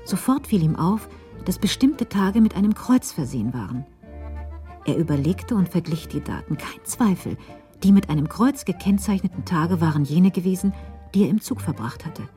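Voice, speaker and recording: Female narrator, scripted crime radio play, low pitch, soft dark timbre, measured, literary. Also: animated, soft background music.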